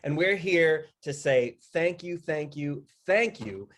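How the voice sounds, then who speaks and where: A man speaking as a project founder, giving a casual promotional thank-you with deliberate, emphatic repetition. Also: on the high side, expressive, fairly bright tone. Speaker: media project founder giving a sponsor message.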